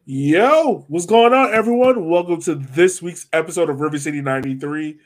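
A man's voice: on the high side, normal speed, faint music behind. A man hosting a casual sports podcast, chatting playfully with his guests. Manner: playful, addresses guests as sir. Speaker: podcast host.

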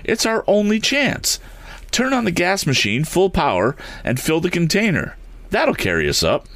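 A young inventor crying out in alarm, voiced by a male storyteller. The reader pushes his voice far above his own low pitch and lands the lines with urgency. He sounds determined despite the fear. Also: huge pitch swings, normal speed, no room sound, exaggerated voice. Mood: frantic. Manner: frantic.

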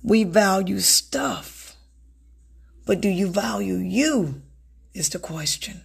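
A woman hosting an unscripted self-help podcast, with a raspy voice that sounds a little strained from a recent illness, talking earnestly and repeating words for emphasis. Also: medium pitch, slow pace. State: recovering from illness, slightly strained voice. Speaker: podcast host.

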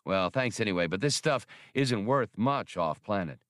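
Brash male space-pilot smuggler , read by a male narrator, wry audiobook dialogue, lowish and animated. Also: normal speed, no echo, studio quality. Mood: skeptical, wry. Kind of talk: audiobook character reading.

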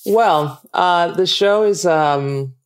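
Smooth-voiced Nigerian woman guest. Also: fairly low pitch, extremely slow. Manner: warm, reflective, laughs easily, many ums. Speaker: actress guest. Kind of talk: casual interview chat.

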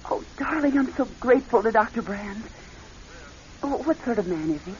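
An actress playing an affectionate, earnest wife in a radio drama. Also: female voice, fairly high pitch, expressive, normal speed, darkish tone, close to an everyday voice. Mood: tender, grateful. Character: affectionate, pleading wife, secretly scheming. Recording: muffled, hissy old radio recording.

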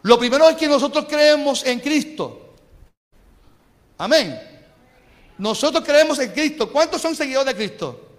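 Brief: Puerto Rican male preacher, very high voice, fervent, call-and-response with the flock, long church reverb. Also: animated, unhurried, pauses often. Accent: Puerto Rican Spanish. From Puerto Rico. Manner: impassioned, calls the congregation for amens. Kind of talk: sermon. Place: church sanctuary.

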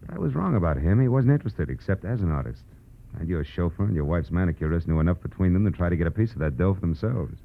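Deep-voiced hard-boiled American private eye. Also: male voice, sing-song, quick pace, gravelly, heavily acted. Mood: dry, frank. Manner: terse, clipped, hard-boiled delivery. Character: hard-boiled male private detective, world-weary and blunt. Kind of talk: 1940s radio acting, first-person noir narration. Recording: muffled old radio recording with music.

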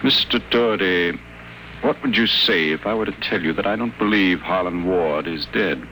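An actor playing a guarded, secretive addict patient, confiding in low, careful tones, in 1940s radio acting style. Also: male voice, moderate pace, bright, forceful tone, a little hiss or hum, poor audio.

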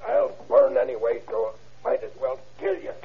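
A man's voice: very high voice, wildly swooping pitch, moderate pace, creaky, full character voice. An American man acting a violent, defiant young forger, a sneering tough guy in a 1930s radio drama. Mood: enraged, threatening. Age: young adult. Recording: tinny, noisy old radio recording.

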